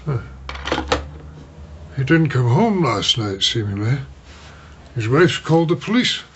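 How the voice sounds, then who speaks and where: A man's voice: mid-range voice, very animated, slight background noise. An actor in a radio drama playing a retired Scottish solicitor, an older man who is hesitant and forgetful. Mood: hesitant, polite. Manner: halting, fretful. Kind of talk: naturalistic radio drama acting. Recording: slightly muffled radio drama sound.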